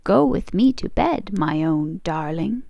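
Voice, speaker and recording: A woman voicing an enchanted frog in a storybook, pleading insistently in a chanting rhyme with drawn-out endearments. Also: fairly low pitch, animated, creaky, warm tone, no echo, dull audio, heavily acted.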